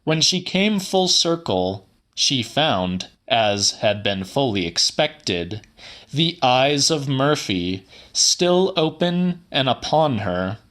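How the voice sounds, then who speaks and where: A man reading a literary audiobook in a theatrical, deliberate way, with some drama in the narration. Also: mid-range voice, sing-song, fairly bright tone. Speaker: audiobook reader.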